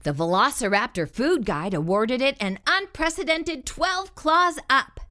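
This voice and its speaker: A woman reading a children's picture book aloud as a storyteller, in an animated way with theatrical emphasis. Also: sits high, a little slow.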